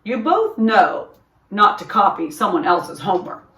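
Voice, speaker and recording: Woman as male teacher, storybook, firm, kind, measured, questioning, echoey room. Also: mid-range voice, big pitch swings, fairly bright tone. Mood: stern. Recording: roomy echo, distant microphone.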